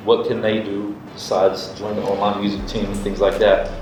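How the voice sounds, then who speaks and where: A man's voice: on the low side, flat delivery, normal speed, background noise. A man hosting a casual panel discussion and putting questions to a guest. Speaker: panel host asking questions. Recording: distant, roomy sound with music behind.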